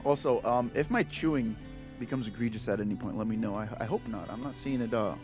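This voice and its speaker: A man's voice: on the low side, fast, over music. A man doing casual, slangy let's play commentary with playful reactions.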